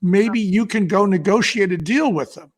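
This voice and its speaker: An older man chatting casually as an interview guest, telling anecdotes in an animated way and acting out what people said. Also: high voice, normal speed. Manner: animated storyteller, acting out quotes. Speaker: guest, business co-founder. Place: indoors, live video call.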